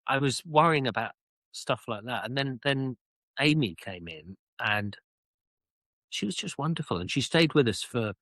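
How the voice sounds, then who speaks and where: A middle-aged British man telling his own story as an interview guest, reflective and halting, often repeating words as he searches for phrasing. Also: mid-range voice, lively intonation, a bit bright, no room sound. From United Kingdom. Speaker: interview guest, author and former army officer.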